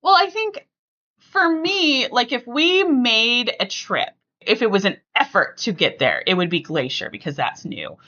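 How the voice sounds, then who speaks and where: Woman, podcast host, lively pitch, bright voice, conversational, thinking aloud, slightly dull lo-fi sound. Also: unhurried. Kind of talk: casual family podcast chat.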